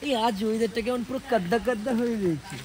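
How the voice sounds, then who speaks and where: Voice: mid-range voice, racing, darkish tone, a little hiss or hum. A travel companion on a rainy forest trail, teasing and hurrying the others along.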